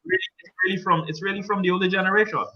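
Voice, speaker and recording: A man's voice: high-pitched, racing, sharp tone, highs cut off. A man from Guyana with a Guyanese accent, a journalist talking as a guest on a casual panel, chatty and joking with many restarts.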